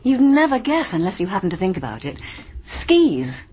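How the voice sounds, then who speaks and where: Actress as a keen young English woman sleuth, very varied pitch, clever, radio drama, slight noise. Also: very animated, normal speed, a little mellow, lightly acted. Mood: eager, explaining. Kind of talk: radio mystery drama acting. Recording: faint background noise.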